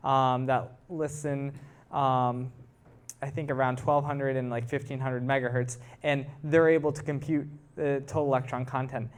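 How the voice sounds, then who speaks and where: A man giving a technical talk to a live audience, explaining things informally and putting questions to his listeners. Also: medium pitch. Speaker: presenter, researcher.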